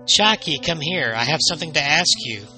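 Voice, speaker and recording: Man as a stern mother, sharp bright voice. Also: on the high side, expressive, brisk, faint music behind, pitched above the performer's own voice. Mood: stern, accusing. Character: stern, scolding mother of a young girl. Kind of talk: horror storytelling character voice.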